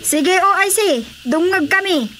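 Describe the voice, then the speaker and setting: An actress playing an excitable, nosy woman with a Filipino accent in a radio drama comedy. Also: very high voice, a little slow, a little hiss or hum. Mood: excited, shocked.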